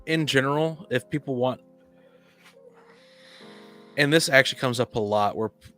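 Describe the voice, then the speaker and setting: Guy chatting tabletop games, rambles and repeats himself, average pitch, faint music. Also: pushed and bright. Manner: halting, rambling, repeats words. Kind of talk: casual discussion of tabletop game design.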